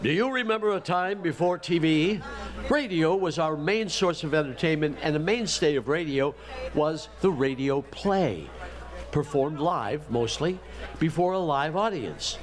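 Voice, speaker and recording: A man's voice: on the high side, expressive, unhurried. A man hosting a live stage event, giving introductions in a joking, conversational way. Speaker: event host.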